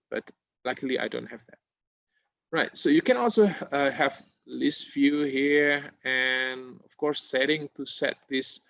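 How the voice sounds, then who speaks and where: A man whose first language is Japanese walks students through a tutorial on a video call, his voice a little gravelly and recorded dry and close. He talks hesitantly, with many fillers and restarts along the way. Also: mid-range voice, fairly bright tone, dry recording, dull audio. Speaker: webinar presenter. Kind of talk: screen-share tutorial. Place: indoor room at home. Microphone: laptop mic on video call.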